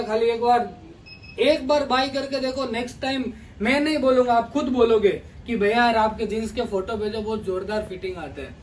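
High-voiced man hard-selling jeans in shop. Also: recorded from a distance, poor audio. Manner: energetic hard-sell patter, calls viewers brother. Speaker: clothing shop owner presenting jeans. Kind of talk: sales pitch to camera. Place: large clothing store with stacked jeans shelves. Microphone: camera mic at a distance. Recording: voice from off mic with faint room noise.

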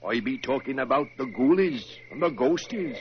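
A man's voice: medium pitch, expressive, normal speed, close to an everyday voice. A man acting a fearful, superstitious Cornish stable hand in a 1940s radio drama, with a rustic West Country accent and an ominous, emphatic manner. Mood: fearful warning. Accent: West Country English. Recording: muffled, noisy 1940s radio recording.

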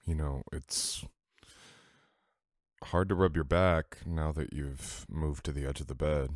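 A man playing a sweet, teasing boyfriend in an intimate ASMR audio roleplay, coaxing softly and unhurriedly. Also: rumbling low, fairly even intonation, slow pace, no room sound, studio quality. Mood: tender coaxing.

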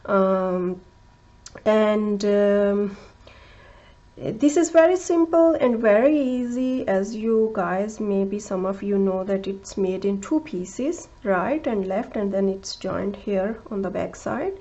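A woman who speaks English as a second language gives a crochet tutorial, talking slowly and carefully, with many fillers and restarts, and counting stitches aloud. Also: mid-range voice, expressive, dark tone, lo-fi sound. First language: not English. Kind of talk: step-by-step craft tutorial.

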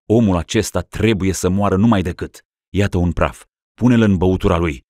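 Man doing a calm, ruthless boyar, deep and deliberate. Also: no echo, studio quality, close to an everyday voice. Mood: cold. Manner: cold, deliberate. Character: cold ruthless male boyar conspirator.

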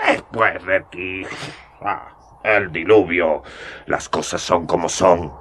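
A man's voice: low voice, takes it slowly, a bit bright, heavily acted. An actor playing a worldly, scoffing older male scholar in a radio drama, mocking and laughing as he talks. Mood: dismissive.

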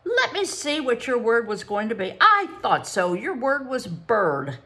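A bossy, schoolmarmish lady psychologist, as a female narrator puts her on, pitched high and loud, with a sing-song voice that swoops up and down. She is prim and condescending, and turns shrill and spiteful when she gets worked up. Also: very animated, measured pace, heavily acted. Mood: accusing. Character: prim, officious woman psychologist, excitable and spiteful.

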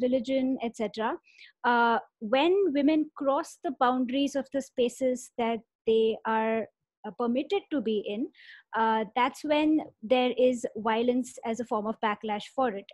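A woman's voice: a little high, darkish tone, dry recording. Young woman speaking earnestly on a video call. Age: young adult. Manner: earnest, many fillers. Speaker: panelist. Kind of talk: webinar discussion. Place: bedroom at home. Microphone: earphone mic on a video call.

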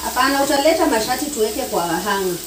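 A woman's voice: a little high, expressive, fast talker, noisy background. A young Kenyan woman chatting casually and teasing while she cooks at home, mixing slang and English. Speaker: vlogger. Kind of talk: casual chat while cooking. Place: small home kitchen, indoors. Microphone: camera on the counter, a few feet away. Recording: echoey kitchen, far mic, loud sizzling. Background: frying and sizzling pans.

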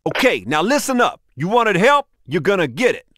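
An American actor playing a bossy, exasperated cop with dry sarcasm in a video game. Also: male voice, high voice, sing-song, moderate pace, fairly bright tone. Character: bossy exasperated male cop sniper partner.

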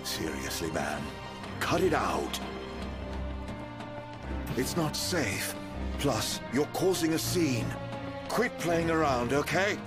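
Middle-aged male villain, video game, sly, theatrical, slow drawl, extremely animated pitch. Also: over loud music. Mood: pleading.

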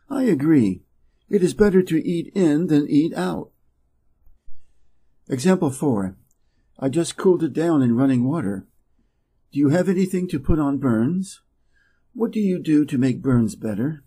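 A man from Wisconsin with an American Midwest accent, an English teacher reading practice dialogues slowly and playing both parts. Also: dark tone, slightly rough. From Wisconsin, USA. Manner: reads both dialogue parts, raising his pitch for speaker A.

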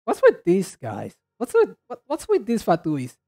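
Mellow higher-pitched man, rambling casually. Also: huge pitch swings. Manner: rambling, halting, low-key. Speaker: reaction video host. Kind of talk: casual chat, reacting to game trailers.

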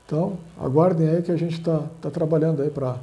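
A man's voice: fairly high pitch, fairly even intonation, a little fast, warm tone, faint music behind. A man hosting a casual how-to tutorial, rambling with constant filler tags, filmed indoors at a table. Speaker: channel host. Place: indoors at a table, filming objects and a laptop screen.

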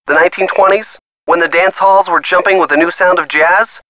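A man's voice: sits high, steady tone, fairly quick, bright, forceful tone, dry recording. A man acting an earnest young boyfriend in a video game, giving encouraging hints with folksy turns of phrase. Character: earnest young boyfriend coaching a teen detective by phone. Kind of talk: scripted video game hint lines.

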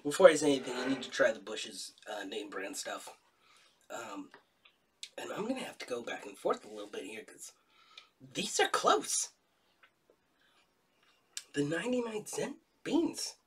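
A man's voice: mid-range voice, measured pace, pauses often, recorded from a distance, a little hiss or hum. A young man with a cold, nasal and a bit stuffy, chatting jokily through a taste test at home. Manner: jokey, animated, laughs often. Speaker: co-host. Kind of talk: casual taste-test chat. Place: dining room at home.

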